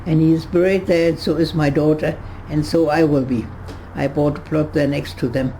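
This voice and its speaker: Gravelly, halting woman over 100. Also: sits low, steady tone, mellow, a little hiss or hum, rough recording. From Germany. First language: German. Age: 100s. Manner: halting, many restarts and searches for words. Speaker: interviewee. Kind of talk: reminiscing in conversation. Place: her home.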